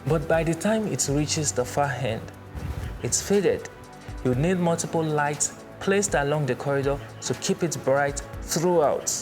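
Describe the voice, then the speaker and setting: A man presenting an explainer in a measured, didactic way, stressing his points. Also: on the high side. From Nigeria. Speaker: presenter, church sound instructor. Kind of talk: explainer with everyday analogies. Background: background music.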